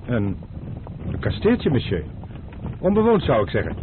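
A man's voice: mid-range voice, huge pitch swings, moderate pace. An actor playing a cautious, loyal male servant who speaks deferentially and worriedly in an old radio play. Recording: noisy narrow-band old radio recording.

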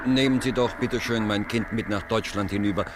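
A man's voice: on the low side, brisk, natural voice. Man voicing a courteous father on a noisy old recording. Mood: polite request. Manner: courteous, formal. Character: polite father handing his child over to a captain. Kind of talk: 1950s German radio play, narrator quoting.

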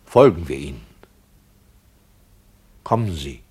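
An actor playing an indignant, argumentative director in a 1960s German radio play, speaking in formal, emphatic phrases. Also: male voice, very deep voice, animated, slow, a little mellow, breathy edge, heavily acted. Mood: subdued, grave.

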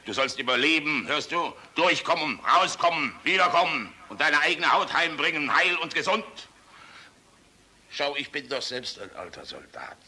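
A man's voice: fairly high pitch, very animated, normal speed, slightly rough, exaggerated voice. An actor in a German radio play voicing an old, world-weary, passionate general, blustering and fatherly in a rhetorical manner. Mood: exasperated, urgent. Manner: blustering, rhetorical, swinging from outbursts to hushed musing. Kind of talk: German radio drama acting.

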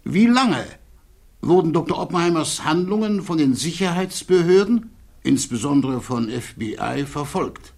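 Gravelly, probing defence attorney. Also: male voice, fairly high pitch, animated, darkish tone. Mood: pressing. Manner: pointed, deliberate questioning. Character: probing, deliberate male defence attorney in cross-examination. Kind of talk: radio play acting, courtroom questioning.

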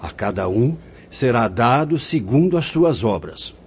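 A man's voice: medium pitch, sing-song, unhurried, darkish tone, slight background noise. A Brazilian man playing a wise, fatherly spirit director in a radio drama, speaking in a solemn, formal, preaching way. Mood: solemn, instructive. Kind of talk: Portuguese-language radio drama acting. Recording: dull lo-fi radio drama audio.

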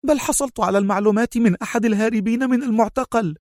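A man's voice: very high voice, rapid-fire, darkish tone, no echo, studio quality, pitched above the performer's own voice. A man voicing a fiery, impatient young woman agent in a dramatic audio story, speaking in an agitated, insistent way. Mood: sharp, nervous.

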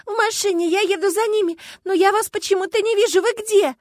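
A woman's voice: extremely high pitch, fairly even intonation, fairly quick, dry recording, studio quality, pitched above the performer's own voice. A woman voicing a panicked, crying woman who talks in a frantic, tearful rush, in an audiobook. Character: panicked sobbing woman chasing a car. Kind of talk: audiobook character voice.

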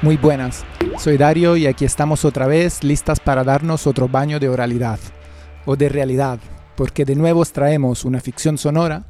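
A man's voice: medium pitch, steady tone, moderate pace, dark tone. A man hosting a radio show, presenting a scripted introduction. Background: faint music.

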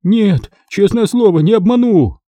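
A man's voice: high-pitched, lively intonation, moderate pace, warm tone, no room sound, voice pushed up. A man voicing a frightened, wheedling older woman crook in an audiobook, wailing and flustered as she pleads. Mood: terrified, pleading.

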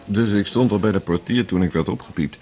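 A man's voice: on the low side, brisk, mellow, natural voice. An actor playing a workaholic middle-aged male internist in a 1980s radio drama, talking in a wry, self-mocking, confiding way. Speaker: hospital internist and chief.

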